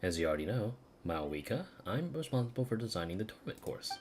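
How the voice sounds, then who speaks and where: An actress playing a brisk, confident woman trainer in a video game, upbeat and friendly with a challenging manner. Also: extremely low pitch, big pitch swings, a little mellow, a little hiss or hum.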